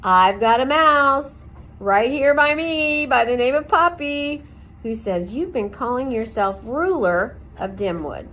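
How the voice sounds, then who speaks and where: A gruff old male porcupine from a storybook, put on by a woman reading aloud, blunt and loud and a little teasing. The voice moves a lot and the delivery is slow and deliberate. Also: high-pitched, animated, pitched above the performer's own voice, exaggerated voice. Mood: confronting.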